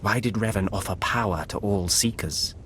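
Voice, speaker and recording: An actor playing a solemn, menacing alien warlord in a video game, speaking in formal, stilted sentences. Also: male voice, fairly low pitch, moderate pace, fairly bright tone, a little hiss or hum, close to an everyday voice. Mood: stern, threatening. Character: solemn alien male Sith beast lord.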